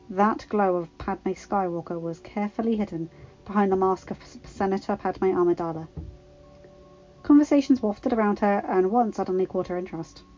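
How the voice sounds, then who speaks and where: Mellow woman reading a story, slightly dull sound. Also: mid-range voice. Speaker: fan fiction reader. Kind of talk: scripted story narration with character voices.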